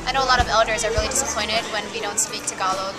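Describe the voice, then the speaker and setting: A woman's voice: mid-range voice, steady tone, very fast, loud music behind the voice, over a noisy crowd. A woman in her twenties gives a casual farewell testimonial, earnest and talkative, thinking aloud with fillers. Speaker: student club member.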